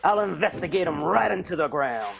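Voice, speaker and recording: A man's voice: a little high, sing-song, gravelly, crowd noise, exaggerated voice. A man playing a dutiful, hesitant bodyguard in an improvised radio soap. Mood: ragey. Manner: halting.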